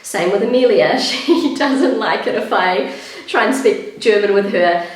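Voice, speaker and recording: A woman in her thirties with a New Zealand accent, chatting casually in a vlog, her voice croaky with vocal fry and a little out of breath. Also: mid-range voice, a little slow. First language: English. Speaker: vlogger. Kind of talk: casual vlog talk to camera. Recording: very echoey room.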